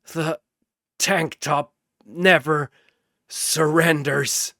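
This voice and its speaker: A man's voice: fairly high pitch, steady tone, drawn-out words, sharp tone, no echo, studio quality, full character voice. A man voicing an earnest, noble muscular hero who declaims with loyalty in a fantasy battle story. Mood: defiant.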